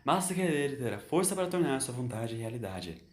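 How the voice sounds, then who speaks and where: A man's voice: medium pitch, big pitch swings, brisk, darkish tone, echoey room, slight background noise, close to an everyday voice. Man playing an emphatic, eccentric time-travelling doctor. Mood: confident, lecturing. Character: eccentric male time-travelling doctor, exclamatory, lecturing, confident.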